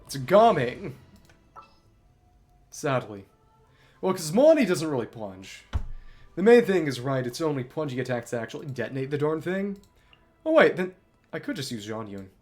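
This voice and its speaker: A man's voice: fairly high pitch, sing-song, moderate pace, pauses often. A man doing live gameplay commentary as a streamer, talking analytically through tactics to himself. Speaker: game streamer. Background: music behind the voice.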